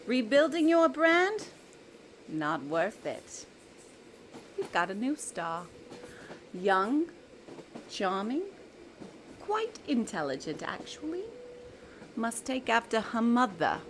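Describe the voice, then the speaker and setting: Woman playing a slow, smug magical villain. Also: on the high side, sing-song, slight background noise. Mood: coldly taunting. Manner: mocking, silky, taunting. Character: smug villainous magical creature bound to an evil hat. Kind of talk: audio drama acting.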